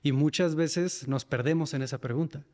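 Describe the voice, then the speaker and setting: A man's voice: on the high side, moderate pace, a little mellow. Northern Mexican man, reverberant room. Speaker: podcast guest, men's mental health facilitator. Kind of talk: reflective personal interview talk.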